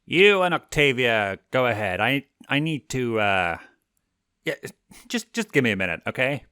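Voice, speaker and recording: A man's voice: medium pitch, very animated, normal speed, sharp tone, smooth, dry recording, studio quality. A man voicing a small, brash imp dad with a crude, casual, needy manner in a story reading. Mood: flustered, uneasy. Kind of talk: fan fiction story reading.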